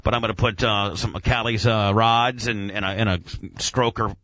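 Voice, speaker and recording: Bright, forceful man rambling in gearhead talk. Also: lowish voice, fairly even intonation, moderate pace, no room sound. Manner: rambling, enthusiastic gearhead jargon, mild swearing. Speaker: radio show host. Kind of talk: casual motor-head radio chat.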